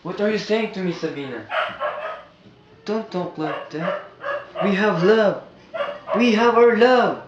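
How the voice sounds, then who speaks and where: A man's voice: high voice, unhurried, pauses often. An actor playing a man devoted to his lover, with an earnest, imploring delivery. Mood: pleading. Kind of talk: melodramatic stage acting. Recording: dull, noisy, echoey recording.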